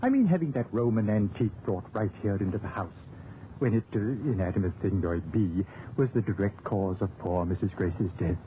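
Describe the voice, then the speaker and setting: A man's voice: fairly low pitch, animated, fairly quick, lightly acted. An actor playing a prim, anxious clergyman with a hesitant, proper manner in a 1940s radio drama. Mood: disapproving. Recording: tinny, lightly noisy 1940s radio recording.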